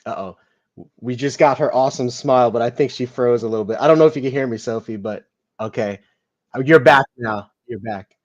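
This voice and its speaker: A man's voice: mid-range voice, a little fast, muffled recording. A man hosting a live stream interview, friendly and encouraging as he asks questions. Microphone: video call.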